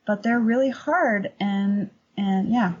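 A middle-aged woman chatting casually as an interview guest and painting teacher, telling anecdotes in an animated, emphatic way. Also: mid-range voice, slow pace, breathy edge, highs cut off.

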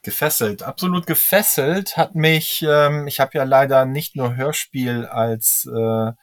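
Male podcast guest, average pitch, halting conversational delivery, very dry studio-close sound. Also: steady tone, no room sound. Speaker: podcast guest, audio drama enthusiast. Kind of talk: casual chat.

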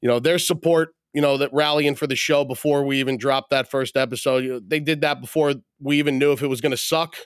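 Laid-back male podcast host, a bit rough. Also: medium pitch, fairly even intonation, quick pace, few pauses, dry recording, clean studio sound. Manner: laid-back, joking, swears casually. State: sick, voice still rough. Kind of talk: casual podcast chat and show intro. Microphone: remote call, mutes own mic.

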